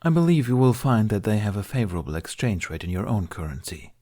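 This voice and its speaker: A man voicing a calm, polite warrior-monk negotiator in an audiobook, with a measured, insistent manner. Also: on the low side. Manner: measured, formal, impassive.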